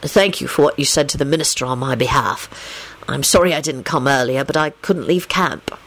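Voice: medium pitch, a little fast, a bit bright, pitched below the performer's own voice. A reader as an earnest, polite young man. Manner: courteous, earnest, slightly awkward.

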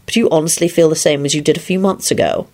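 A woman voicing a calm, stern but patient husband and father in a storybook, speaking in a measured way. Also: low voice.

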